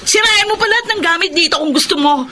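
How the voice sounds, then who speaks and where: An actress playing a furious, suspicious, sharp-tongued wronged wife in a Filipino radio drama. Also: female voice, extremely high pitch, quick pace. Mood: scornful, angry. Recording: clearly noisy background with clicks.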